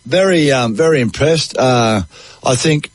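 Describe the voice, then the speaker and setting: A man's voice: mid-range voice, measured pace. A middle-aged man with an Australian accent, hosting casual sports radio chat in a matey, conversational way. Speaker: sports radio host and golf commentator. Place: radio studio.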